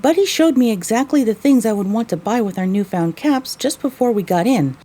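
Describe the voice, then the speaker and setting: A woman's voice: on the high side, expressive, a little fast, mellow. A woman playing a young mare adventurer, weary and wry, narrating her story in the first person for an audio drama.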